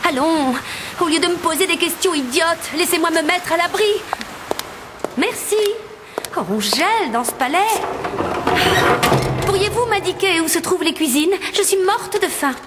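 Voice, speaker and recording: Fairy-tale princess: very high, young, haughty, polite yet imperious. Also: female voice, animated, normal speed, pauses often, very noisy. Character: haughty, self-assured young storybook princess. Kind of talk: animated fairy-tale dialogue.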